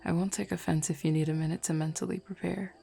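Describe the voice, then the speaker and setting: A woman voicing a flirty, self-assured female werewolf in an intimate roleplay audio, teasing softly and without hurry. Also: on the low side, steady tone, fast, vocal fry, soft background music. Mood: playful.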